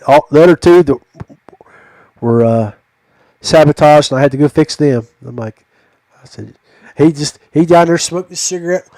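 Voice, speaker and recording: A man with a somewhat high voice hosts a casual podcast chat. He spins rambling, folksy stories, and the sound is fairly dry and close. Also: normal speed, pauses often, warm tone, no echo, low-quality recording. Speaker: podcast host.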